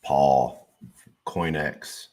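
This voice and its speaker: A man's voice: very deep voice, very slow. A man hosting a casual livestream, talking loosely with fillers and the odd swear word. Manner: relaxed, swearing, plenty of fillers; hype announcer voice when introducing a guest. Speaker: livestream host and crypto content creator.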